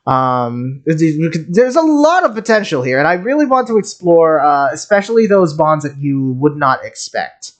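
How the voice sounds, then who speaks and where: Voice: medium pitch, sing-song, moderate pace, few pauses. A streamer giving casual game reaction commentary in a rambling way, often stammering and repeating words. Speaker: streamer, game content creator.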